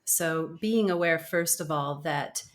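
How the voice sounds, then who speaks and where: American woman, interview guest; earnest, animated, emphatic conversational read. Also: sits low, slow pace. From United States. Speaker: guest, author and speaker.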